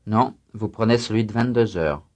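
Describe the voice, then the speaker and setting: A man reading scripted student answers and exercise announcements in a language drill, careful and even. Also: on the low side, normal speed.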